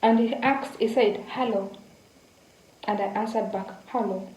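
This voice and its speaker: A woman's voice: mid-range voice, steady tone, a little slow, a little mellow, reverberant, a little hiss or hum, poor audio. A young woman from Kenya casually telling a story to her viewers, repeating words and speaking to them directly. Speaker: vlogger.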